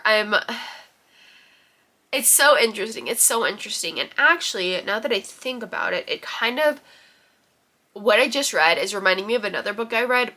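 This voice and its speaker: A woman's voice: medium pitch, sharp tone. A young woman with a stuffy, nasal voice from a head cold, chatting casually and rambling a little in a book vlog. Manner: chatty, rambling, many ums and likes. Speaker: book vlogger. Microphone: camera in her room.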